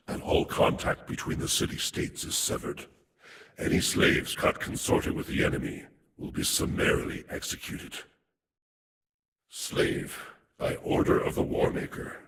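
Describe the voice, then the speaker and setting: Man voicing a whispering, stern inhuman herald, echoing. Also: lightly acted. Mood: stern, threatening. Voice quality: whispery, voiceless. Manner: stern, formal, authoritarian. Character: stern, cold male inhuman herald commanding slaves. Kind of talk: scripted game decree. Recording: echoing, clearly noisy background.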